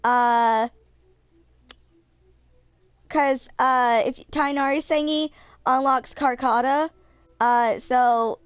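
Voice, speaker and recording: A woman's voice: fairly high pitch, clean tone, dry recording. A woman in her twenties chatting casually on a livestream while she plays a game. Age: 20s. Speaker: game streamer.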